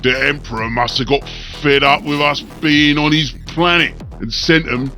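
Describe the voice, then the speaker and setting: A grim ork warlord with rough, slangy speech, performed by a male narrator for a fantasy audiobook. The voice is pushed up in pitch and forceful. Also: fairly even intonation, normal speed, faint music behind. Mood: disgruntled. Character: muttering, grim ork warlord with a long jaw.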